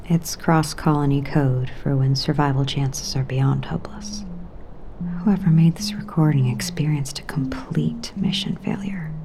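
A woman playing a wary, serious space colony commander, terse and hushed, in a science fiction audio drama. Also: a bass voice, fairly even intonation, a little slow, in a whisper. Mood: grim, hushed. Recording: loud music behind the voice.

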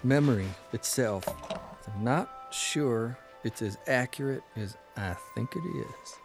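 A man's voice: medium pitch, a little slow, vocal fry, over music. A man playing a wry, self-doubting 1930s newspaper columnist in an audio drama, musing and a little hesitant. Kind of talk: period audio drama acting.

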